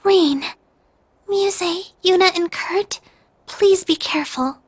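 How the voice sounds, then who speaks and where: Game role: earnest young girl, extremely high voice, slow careful delivery. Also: no room sound. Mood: earnest, pleading. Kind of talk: video game cutscene acting.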